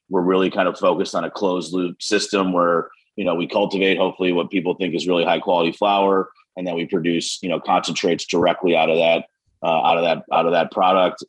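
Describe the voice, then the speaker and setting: An American man answering interview questions conversationally as a company co-founder, hedging often with "you know" and "kind of". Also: sits low. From United States, Western New York. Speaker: business co-founder being interviewed.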